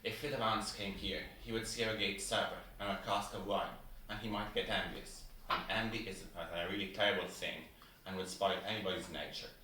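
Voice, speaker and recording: A man's voice: sits low, steady tone, a little fast, bright, forceful tone, a little hiss or hum, poor audio. A man playing pompous, selfish, self-satisfied storybook characters, a rich miller and a water rat, in an acted fairy-tale reading. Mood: self-righteous. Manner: pompous, emphatic.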